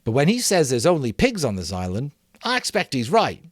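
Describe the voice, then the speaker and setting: A man voicing an excitable young boy in a read-aloud story, rambling and correcting himself as he goes. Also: mid-range voice, a little fast, no room sound, clean studio sound, pitched above the performer's own voice. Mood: eager. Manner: rambling, hesitant, self-correcting.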